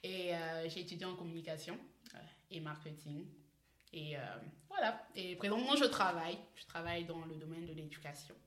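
A woman's voice: lowish voice, fairly quick, fairly bright tone. A woman from the Republic of the Congo, chatting casually and playfully as a co-host. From Brazzaville, Republic of the Congo. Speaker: podcast co-host. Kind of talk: casual group chat. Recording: echoey room, faint noise.

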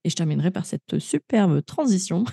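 Gravelly-voiced French podcast hostess, dry close mic. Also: female voice, on the low side, sing-song, a little fast, mellow, no echo. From France. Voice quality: frequent fillers and restarts, laughs easily. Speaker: podcast host. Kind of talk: casual interview, then outro to listeners.

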